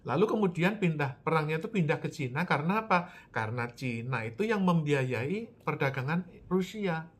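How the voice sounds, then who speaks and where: A man's voice: fairly high pitch, animated, normal speed. A man from Java, giving an emphatic lecture-style political talk, asking rhetorical questions and answering them himself. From Java, Indonesia.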